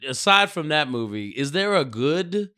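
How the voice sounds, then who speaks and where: A man's voice: fairly high pitch, sing-song, normal speed, pushed and bright, smooth. A man co-hosting a podcast, chatting casually with slang and swearing. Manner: slangy, profane. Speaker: podcast co-host.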